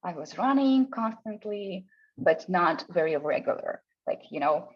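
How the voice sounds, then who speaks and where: A woman from Russia with a Russian accent, English her second language, speaking as a guest in a casual interview, often pausing and restarting her phrases. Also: on the low side, expressive, slightly rough, muffled recording. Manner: hesitant, restarts phrases, non-native grammar.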